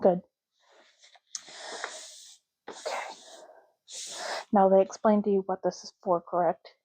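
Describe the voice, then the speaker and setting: Soft, mellow woman doing ASMR roleplay. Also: medium pitch, little pitch movement, no echo, rough recording. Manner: hushed, unhurried, gently explanatory, sometimes slipping into whisper. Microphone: close microphone.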